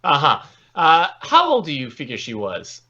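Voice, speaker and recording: A man's voice: a little high, wildly swooping pitch, normal speed, sharp tone, full character voice. An actor playing a polite, businesslike male police detective in a 1950s radio drama. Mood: polite, inquiring. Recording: dull vintage radio recording.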